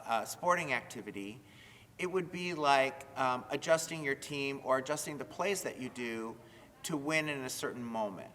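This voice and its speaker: A man who directs high school theater, giving explanatory interview remarks. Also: pushed and bright. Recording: reverberant hall with background noise.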